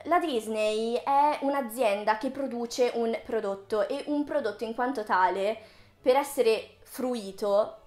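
High-voiced young woman, roomy sound. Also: a little slow, a little mellow. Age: early 20s. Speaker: video creator, host. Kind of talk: casual video essay to viewers. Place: small room with bookshelves, indoors. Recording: roomy indoor echo.

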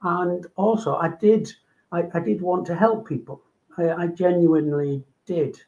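Older Manchester man, halting and thoughtful. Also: high voice, darkish tone. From England. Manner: halting, with many ums and repeats. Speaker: guest doctor. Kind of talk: casual video call interview. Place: home room. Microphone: video call. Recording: dull lo-fi video call audio.

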